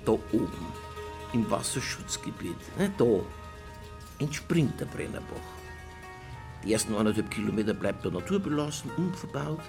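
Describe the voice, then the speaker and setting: An actor playing an earnest, matter-of-fact local official with a Bavarian accent, explaining things in naturalistic drama dialogue. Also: male voice, mid-range voice, gravelly.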